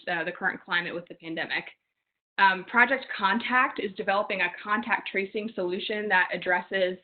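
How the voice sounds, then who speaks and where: Female moderator, virtual panel host, lo-fi call audio, mid-range voice. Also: medium pitch. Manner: smooth and organized, with frequent "um"s. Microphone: video call.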